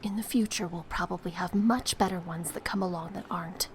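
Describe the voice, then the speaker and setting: A woman's voice: lowish voice, fairly quick, background noise, close to an everyday voice. Woman acting as streetwise, cynical older sister. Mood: sly, resigned. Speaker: voice actor. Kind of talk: dramatic audio drama acting.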